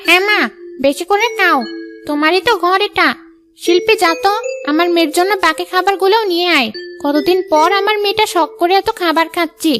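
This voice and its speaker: A woman's voice: very high-pitched, brisk, fairly bright tone. A woman voicing a two-faced, greedy stepmother in a cartoon, sugary and bossy by turns. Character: scheming stepmother, two-faced, sweet outside and greedy inside. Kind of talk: Bengali cartoon dub. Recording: music behind the voice.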